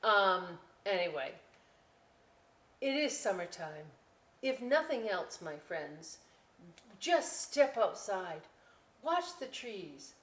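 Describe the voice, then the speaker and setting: A woman hosting a children's storytime and craft session, chatty and expressive, speaking directly to young listeners. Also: mid-range voice, slow, pauses often. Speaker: library storytime host. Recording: echoey room.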